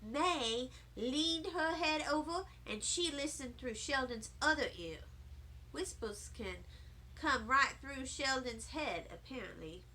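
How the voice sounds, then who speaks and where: A woman giving an expressive, animated and deliberate narration of a children's audiobook. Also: high voice, poor audio. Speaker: storybook reader.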